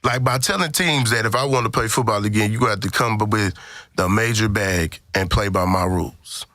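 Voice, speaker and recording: An American man with a bright, forward voice, recorded very dry and close. He argues sports like a podcast co-host, opinionated and emphatic, swearing freely and doubling back on phrases to drive them home. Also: fairly low pitch, fairly quick, dry recording. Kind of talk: casual sports debate.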